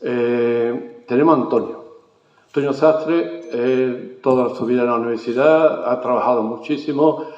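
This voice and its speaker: A man's voice: medium pitch, normal speed, darkish tone. Emphatic male moderator in echoey hall. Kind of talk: round-table talk. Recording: echoey hall, dull sound.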